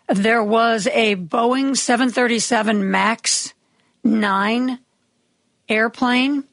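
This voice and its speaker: A woman hosting a radio talk show, raspy from medication, giving chatty, wry news commentary with opinionated asides. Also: fairly high pitch, fairly even intonation, extremely slow, pauses often, fairly bright tone, no room sound. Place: radio studio.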